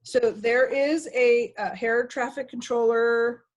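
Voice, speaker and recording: Woman, fairly high voice, staff trainer, conversational and instructive, informal video-call walkthrough. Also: fairly even intonation, slow, dull audio. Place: video call with screen sharing.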